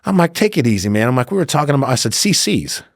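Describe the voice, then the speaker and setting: A man's voice: mid-range voice, big pitch swings, fast talker, no room sound, studio quality. A middle-aged man telling casual anecdotes as a podcast guest, acting out both sides of conversations. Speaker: podcast guest, former undercover agent.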